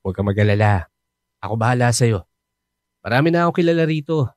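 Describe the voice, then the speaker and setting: An actor playing a talkative, helpful fellow overseas worker who jokes and reassures, in a radio drama. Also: male voice, medium pitch, expressive, fast talker, dry recording, lightly acted. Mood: friendly. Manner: chatty, joking, reassuring. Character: chatty, helpful coworker who knows everyone.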